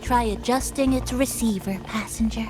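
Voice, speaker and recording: A woman voicing a self-assured, teasing young woman in a video game, with a knowing, measured delivery. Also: on the high side, over music, close to an everyday voice. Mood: knowing, amused. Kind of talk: video game character acting.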